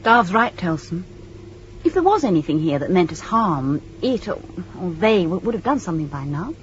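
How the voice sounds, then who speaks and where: An actress playing a plucky, practical young spaceship crew member in a 1980s radio drama. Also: female voice, on the low side, very animated, moderate pace. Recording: dull old radio recording, faint noise.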